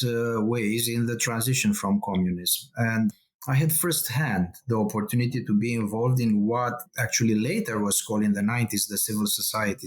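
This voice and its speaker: Man, Romanian accent, middle-aged, lowish voice, halting academic answers full of ums. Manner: measured, halting, many ums and uhs. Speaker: guest scholar.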